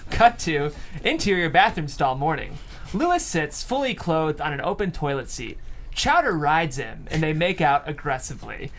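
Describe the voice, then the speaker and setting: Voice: mid-range voice, very animated, moderate pace, fairly bright tone, slight background noise. A person reading stage directions aloud at a screenplay table read.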